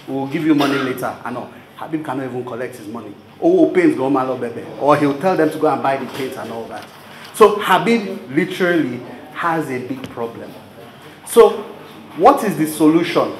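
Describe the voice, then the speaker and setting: A man's voice: mid-range voice, lively intonation, moderate pace. A man with a Nigerian accent giving an informal public presentation, conversational, telling stories and putting rhetorical questions to his audience. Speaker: presenter of a business training program. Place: live event room before an audience. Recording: roomy venue sound with background noise.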